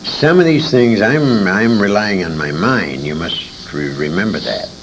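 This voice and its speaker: A man's voice: fairly low pitch, animated, measured pace. An older man, a former radio actor, reminiscing as an interview guest in a rambling, self-correcting way on a noisy amateur recording.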